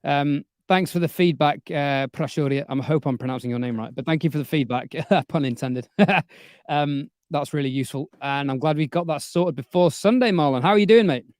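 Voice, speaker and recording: A man's voice: on the high side, fairly quick, darkish tone, no echo. A man hosting a casual livestream from his home studio, chatty and joking, with plenty of fillers, speaking close to a studio microphone. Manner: chatty, jokey, trailing fillers. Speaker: livestream host. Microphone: studio dynamic microphone.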